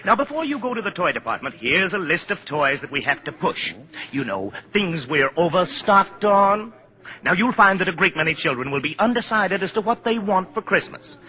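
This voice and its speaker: An actor playing a fussy, excitable department store publicity man with gushing, prissy delivery in 1940s radio style. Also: high-pitched, normal speed. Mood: brisk, instructing. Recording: muffled 1940s radio recording.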